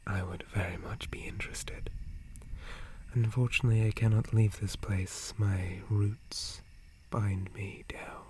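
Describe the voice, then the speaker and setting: A man voicing a gentle, polite dryad guardian in a breathy, formal whisper for an ASMR roleplay. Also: fairly low pitch, steady tone, a little slow, natural voice. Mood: calm, explaining. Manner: formal, courteous, hesitant, softly hushed. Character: gentle, polite male dryad, forest guardian tree spirit.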